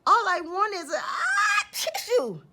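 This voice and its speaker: A woman's voice: extremely high pitch, huge pitch swings, takes it slowly, bright, forceful tone, shouting, pitched above the performer's own voice, full character voice. A woman voicing a kind male wolf with a bad cold, whiny and sniffling as he pleads. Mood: pleading. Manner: whiny, nasal sniffling pleas.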